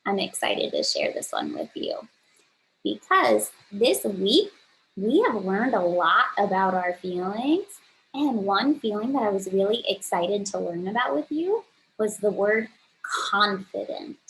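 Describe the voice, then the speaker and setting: Female early-grades teacher, slow and very animated, emphatic, explaining simply, faint noise behind. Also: medium pitch, creaky, low-quality recording. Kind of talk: read-aloud lesson for young children.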